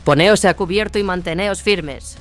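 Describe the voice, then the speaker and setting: Una mujer que interpreta en un audiodrama a una hermana de batalla, severa, tajante, acostumbrada a mandar. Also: female voice, fairly low pitch, moderate pace, lightly acted. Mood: commanding. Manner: commanding, clipped, authoritative. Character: Mirilla, stern commanding battle-sister squad leader. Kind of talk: audio drama acting. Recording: dramatic music behind the voice.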